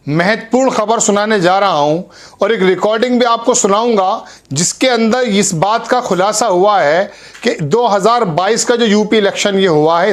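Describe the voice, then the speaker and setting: Male news host, high voice, earnest, self-correcting delivery. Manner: earnest, repeats and corrects himself. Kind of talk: news commentary to camera.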